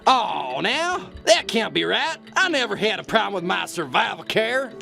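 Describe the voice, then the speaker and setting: A man's voice: sits high, very animated, moderate pace, gravelly, bright, forceful tone, faint music behind, heavily acted. A man playing a folksy, self-important, clueless boss in a satirical radio play. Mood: baffled, defensive. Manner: folksy, blustering.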